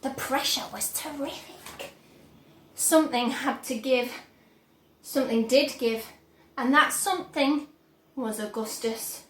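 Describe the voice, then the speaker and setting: Young woman doing dramatic kids storytime, really high bright voice, roomy sound. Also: slight background noise. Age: young adult. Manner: expressive, dramatic, measured delivery. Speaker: storyteller reading aloud. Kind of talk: animated children's story reading. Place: bright plant-filled room at home. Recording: roomy indoor echo.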